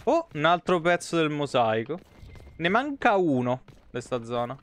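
A man's voice: on the high side, very animated, a little slow, fairly bright tone. A man in his thirties with a Roman Italian accent, giving casual, slangy live game commentary and chatting with viewers in rambling asides. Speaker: game streamer.

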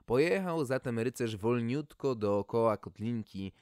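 A man's voice: medium pitch, expressive, measured pace, darkish tone, clear, resonant tone, no room sound, clean studio sound. A man reading a humorous fairy tale as podcast host, in a theatrical, folksy storytelling manner.

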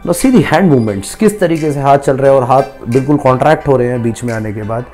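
A man's voice: medium pitch, animated, a little fast, mellow, soft background music. Indian man walking through gym machines. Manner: mixes Hindi and English, repeats phrases for emphasis. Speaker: gym equipment seller and fitness trainer. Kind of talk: product walkthrough demo. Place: large gym warehouse, indoors.

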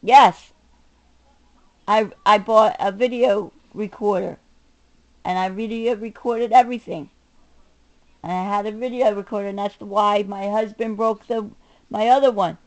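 A woman's voice: mid-range voice, fairly even intonation, unhurried, pauses often, a little mellow, poor audio. A middle-aged woman in an angry, combative personal rant to camera, swearing and stressing her words. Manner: combative, profane, emphatic, swinging between muttering and raised voice. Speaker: self-recording vlogger.

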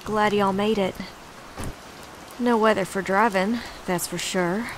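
A woman's voice: mid-range voice, fairly even intonation, takes it slowly, a little hiss or hum, lightly acted, poor audio. A woman playing a friendly young country woman with a Southern US accent and folksy talk in an audio drama.

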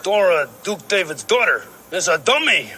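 A man's voice: sits high, sing-song, moderate pace, sharp tone, slight background noise, heavily acted. A man voicing a nasty, blustering dragon in a children's puppet storybook skit, with a theatrical villainous delivery. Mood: scornful, indignant. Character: dangerous, nasty male dragon, blustering and sneaky.

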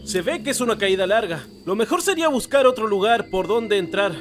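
A man voices a high-pitched, talkative cartoon villain, excitable and a little cowardly. His wordy musings play over prominent music. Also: fairly quick. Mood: wary, reluctant. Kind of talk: animated fan film voice acting. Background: loud music.

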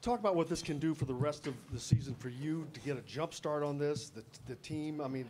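A man's voice: on the high side, brisk, a little mellow, slight background noise. A man, a reporter, asking a long, wordy question at a press conference.

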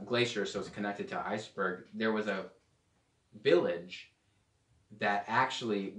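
A young man preaching a sermon at a whiteboard, lively and asking his audience questions. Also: on the low side, recorded from a distance. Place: indoor room with whiteboard and podium. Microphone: far camera. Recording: roomy echo, voice away from the mic.

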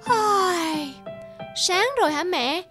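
A woman voicing an eager, boastful young male lion cub in an animated fable, childlike and exclamatory. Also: very high-pitched, slow pace, music in the background. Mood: excited boastful.

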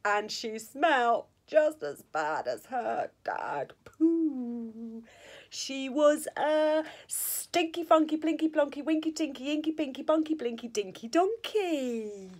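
High-voiced woman reading a children's story. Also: slow pace. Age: middle-aged. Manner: expressive, sing-song read-aloud delivery. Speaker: school staff storyteller. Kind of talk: reading a children's rhyming picture book aloud. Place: small school office or staff room, indoors. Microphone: phone or tablet at arm's length.

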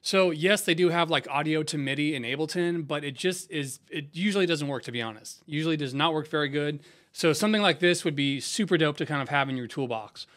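A man in his thirties giving a casual music software review, recorded close up in a small home studio. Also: on the high side, fairly quick, hardly any pauses. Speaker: music production tutorial host.

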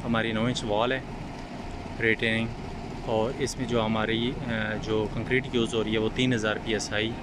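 Man, flat voice. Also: mid-range voice. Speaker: construction site supervisor. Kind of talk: site walkthrough narration. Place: outdoor construction site. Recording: outdoor site noise behind.

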